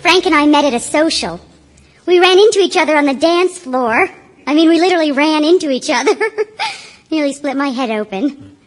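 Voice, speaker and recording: Chatty high-pitched young wife. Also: female voice, animated, moderate pace, soft background music. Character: chatty, polite, eager-to-please young wife and hostess. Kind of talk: audiobook stage drama acting.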